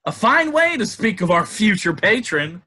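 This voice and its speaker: A boyish, excitable young husband from a stage play played by a man. The voice is husky and forceful, swinging widely in pitch. He is breezy, cheeky and full of exclamation. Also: moderate pace, heavily acted. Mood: ecstatic. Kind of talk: stage play table read.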